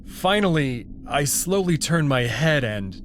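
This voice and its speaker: A man reads dramatic first-person fiction at an unhurried pace. His pitch moves expressively through the narration. There is faint noise behind the voice. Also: sing-song.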